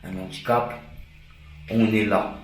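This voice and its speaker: A man's voice: fairly low pitch, steady tone, slow pace, soft background music. A man from France chatting informally and earnestly as a vlogger, recorded in a reverberant room away from the mic. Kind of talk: casual vlog chat. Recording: very echoey room, distant mic, faint noise.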